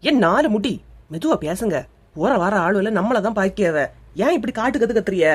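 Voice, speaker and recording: A woman voicing a sardonic, nagging village woman in a comic cartoon, scolding briskly in Kanyakumari Tamil slang. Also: mid-range voice, animated, slightly rough.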